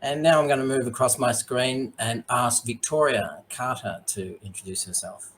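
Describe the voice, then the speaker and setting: A man presides over a formal online ceremony as mayor. His voice sits at a middle pitch, and he speaks in a measured, polite way with frequent ums. He comes through a video call. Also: fairly bright tone, slight background noise.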